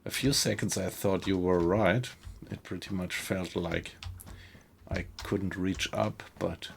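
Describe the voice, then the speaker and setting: A man's voice: low-pitched, fairly even intonation, slow pace, vocal fry, slight background noise. A man with a German accent streaming a survival game, chatting easily with viewers and thinking aloud as he plays. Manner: easygoing, thinks aloud, reacts to the game while talking to chat. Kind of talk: live gameplay commentary, chatting with viewers.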